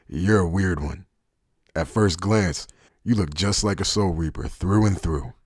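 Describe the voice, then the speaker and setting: A man acting in an anime fan dub as a guarded, cynical former soldier turned traitor, with a low, husky voice and a wry, deliberate delivery. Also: no echo, studio quality, exaggerated voice. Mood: wry, amused.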